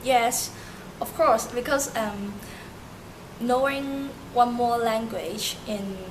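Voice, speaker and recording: A young woman from Turkey, a native Turkish speaker, answering interview questions in halting English with many fillers and restarts. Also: a little high. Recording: noisy amateur recording.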